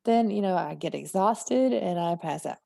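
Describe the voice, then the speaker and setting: Southern woman, gravelly low-bright voice, chatty and laughy, dry close sound. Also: expressive, moderate pace, no echo. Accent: slight Southern US. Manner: chatty, laughs often, acts out her own thoughts. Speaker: guest writer. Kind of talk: casual conversation.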